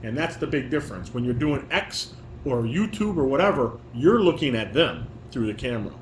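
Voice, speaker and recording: A man's voice: medium pitch, big pitch swings, fairly quick. A man directing a family table read, reading stage directions and giving informal, corrective instructions. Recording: echoey room with clear background noise.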